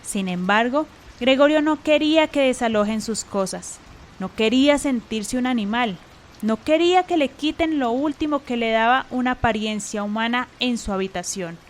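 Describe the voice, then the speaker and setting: High-voiced woman narrating a radio drama. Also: animated, normal speed. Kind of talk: scripted radio drama storytelling.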